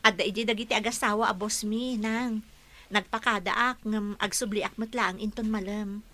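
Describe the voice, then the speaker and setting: A woman playing a dutiful young caregiver daughter in a radio drama, gentle and reassuring. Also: medium pitch, fairly even intonation, normal speed, lightly acted.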